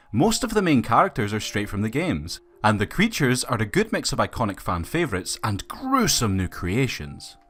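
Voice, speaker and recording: A man's voice: lowish voice, fairly bright tone, slightly rough. A man reading a scripted film review as a video reviewer, with opinionated, colloquial phrasing.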